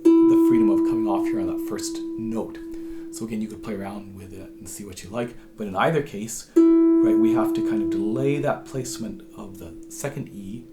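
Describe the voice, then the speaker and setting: A hoarse man with a cold, patiently explaining how to play a tune as a harp teacher, his voice sometimes dropping to a whisper. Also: on the low side, moderate pace, over loud music. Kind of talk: instructional tutorial.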